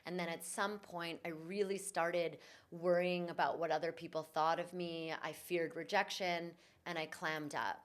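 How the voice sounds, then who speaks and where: Woman guest, yoga and voice teacher; low-set voice, earnest reflective storytelling. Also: steady tone, normal speed. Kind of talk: conversational interview. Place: in Bali.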